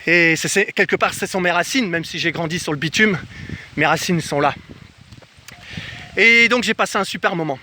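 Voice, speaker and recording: A man from France telling a casual personal travel story to camera in an animated way, acting out bits of dialogue as he goes. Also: sits high, moderate pace, long pauses, slight background noise. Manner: animated, acts out reported dialogue. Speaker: travel vlogger. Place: outdoors in the countryside.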